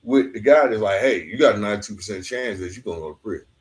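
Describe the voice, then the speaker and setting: Man hosting a casual, halting interview. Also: lowish voice, expressive, slight background noise. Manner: colloquial, halting, circles back to rephrase questions. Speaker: podcast host, interviewer. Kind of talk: casual, reflective interview talk.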